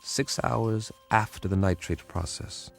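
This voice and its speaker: A man's voice: lowish voice, unhurried, creaky, faint music behind. A man playing a weary, dogged government codebreaker in a radio drama, wry and earnest.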